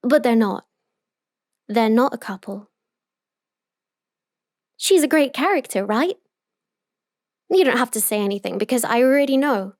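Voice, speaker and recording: A woman playing an obsessive, possessive young yandere in an intimate roleplay audio monologue, telling her story with deliberate emotional intensity. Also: on the high side, lively intonation, dry recording, studio quality. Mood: insistent, lovesick.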